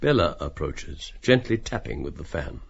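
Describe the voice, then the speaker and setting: A man's voice: a bass voice, very animated, moderate pace, gravelly, dull audio. A man reading literary stage directions as scripted audiobook narration.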